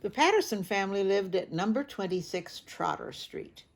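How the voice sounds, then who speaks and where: A woman reading a picture book aloud to children, gently chatting and stopping to ask the listener questions. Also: mid-range voice, lively intonation, normal speed, creaky. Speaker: storybook reader.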